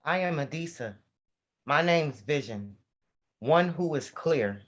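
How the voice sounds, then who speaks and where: A man's voice: on the high side, lively intonation, takes it slowly. A man in a staged play reading, playing a resolute ancestor spirit reflecting on childhood. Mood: resolute, reflective. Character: clear-minded, resolute ancestor spirit recalling a sharecropper childhood. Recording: dull, muffled lo-fi audio.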